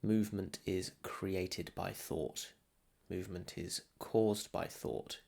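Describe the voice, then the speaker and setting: A man guides a meditation in a deep voice with a creaky, fried edge. He speaks very slowly and soothingly, setting out short phrases with long pauses between them. The delivery is calm instruction, like a teacher leading practice.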